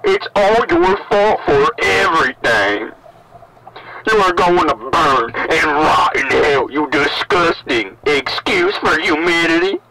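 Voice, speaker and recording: A man's voice: a little high, expressive, slow, gravelly, crowd noise, full character voice. A man playing a distraught, furious father in a found-footage horror monologue, bitter and accusing, on a crackly old cassette tape. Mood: anguished, disgusted.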